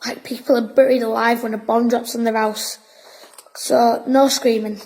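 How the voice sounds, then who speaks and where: A woman acting a high-voiced, bossy young boy. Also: level tone, normal speed, a little mellow, lightly acted, rough recording. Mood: spooky, boastful. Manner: officious, storytelling. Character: bossy, boastful wartime boy spinning spooky tales. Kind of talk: audio drama acting.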